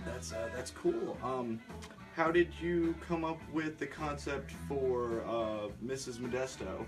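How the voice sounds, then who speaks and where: A man hosting a casual interview, asking questions in a hesitant, halting but encouraging way. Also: mid-range voice. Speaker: interviewer, host. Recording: music playing behind the talk. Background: guitar music.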